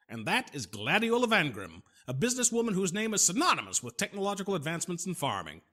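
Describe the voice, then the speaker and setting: A man acts out a traveling salesman in an audio drama, pitched fairly high for a man and very animated. He is flattering and nervously polite, stammering a little, with a husky edge to his voice.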